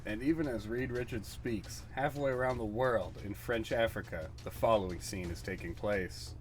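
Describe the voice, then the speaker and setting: A man's voice: fairly low pitch, normal speed, faint music behind. A man giving dramatic, scripted comic-book narration in a portentous manner.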